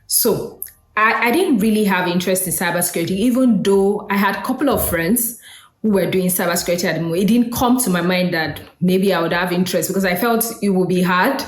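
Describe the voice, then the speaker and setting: A woman in her thirties with a lowish voice, talking casually to her audience as host. She speaks in a relaxed, conversational way, with fillers and small asides. The sound is roomy, with a noticeable echo. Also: a little fast. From Nigeria. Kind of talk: casual solo talk.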